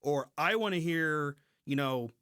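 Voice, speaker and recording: A man co-hosting a podcast, talking casually and slangily with halting, repeated restarts. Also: on the high side, expressive, sharp tone, clean tone, no room sound, clean studio sound. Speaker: podcast co-host. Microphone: close mic on a remote recording call.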